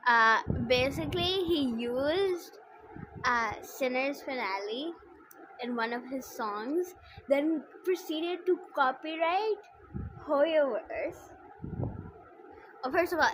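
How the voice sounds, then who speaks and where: A woman's voice: high-pitched, lively intonation, slow, background noise. A woman chatting casually on a game livestream in a blunt, opinionated, slangy way. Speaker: streamer playing a game. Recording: music playing behind the voice. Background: game music.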